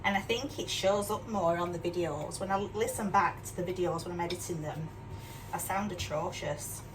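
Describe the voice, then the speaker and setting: An older woman with a mixed Yorkshire accent chats away while she cooks at home, poking fun at herself and ending her lines with little questions. She sits some distance from the mic. Steady background noise hums behind her. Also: lowish voice, normal speed. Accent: mixed northern English, Yorkshire. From Yorkshire, England. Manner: chatty, self-deprecating, asks tag questions. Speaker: cooking vlogger. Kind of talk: casual chatty cooking demonstration. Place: home kitchen.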